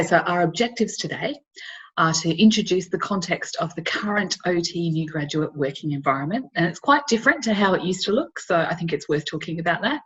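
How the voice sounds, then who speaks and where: A middle-aged woman with an Australian accent, an occupational therapist giving a webinar, her voice slightly croaky from hay fever, explaining in a measured way with fillers. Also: lowish voice, fairly bright tone. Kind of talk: presenting a professional webinar. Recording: dull lo-fi webinar audio.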